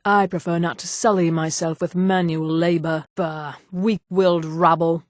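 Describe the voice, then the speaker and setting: A woman playing a haughty, scheming high elf lady. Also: steady tone, a little slow, no echo, clean studio sound, natural voice. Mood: disdainful. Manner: haughty, poised, condescending. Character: haughty, vain, scheming female high elf mage and merchant. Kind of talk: scripted video game character dialogue.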